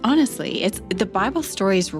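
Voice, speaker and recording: A woman's voice: medium pitch, animated, moderate pace. A woman explaining her creative process as a writer in an interview, earnest, with emphatic repetition. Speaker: audio drama writer. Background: faint music.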